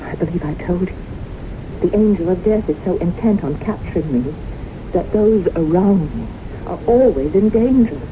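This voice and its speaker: A hissy, dull vintage radio recording of a woman acting a haunted widow. Her voice is low, and she speaks with solemn, deliberate drama, earnest about death stalking her. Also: lively intonation, lightly acted. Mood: fearful. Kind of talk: old-time radio drama acting, storytelling.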